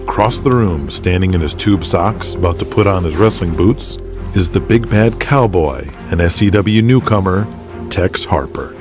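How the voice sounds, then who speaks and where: A man's voice: low-pitched, animated, moderate pace, over music. A man reading scripted dramatic narration for an audio drama, in the present tense.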